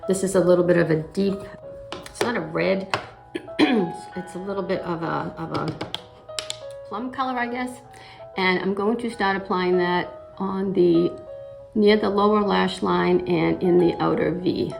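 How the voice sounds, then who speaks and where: A middle-aged woman narrates a makeup tutorial while fighting a slight cold. Her voice sits low and sounds a little raspy and gravelly. She explains each step in an easy, chatty way, with faint music playing underneath. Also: a little slow, long pauses. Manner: relaxed step-by-step explaining with frequent "uh" and throat clearing. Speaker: makeup vlogger.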